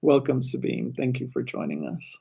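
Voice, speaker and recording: A man hosting an interview, thoughtful and curious, with filler pauses as he asks questions. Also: medium pitch, animated, creaky, mellow, breathy edge. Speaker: interviewer, host. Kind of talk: conversational interview.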